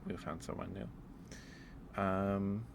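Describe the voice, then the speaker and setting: Male ASMR livestreamer, very low register, slow rambling delivery with hesitant pauses, casual chat. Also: little pitch movement, gravelly, noisy background.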